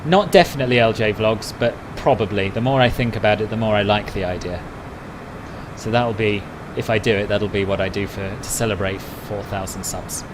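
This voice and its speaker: Man, relaxed conversational live-stream talk, answering chat, steady background noise. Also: lowish voice, brisk. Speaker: flight sim streamer.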